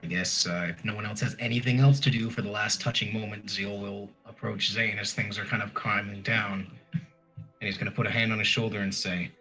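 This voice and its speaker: Bright, lowish-voiced male tabletop player. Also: little pitch movement, fairly quick, soft background music, low-quality recording. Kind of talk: casual description of his character's actions.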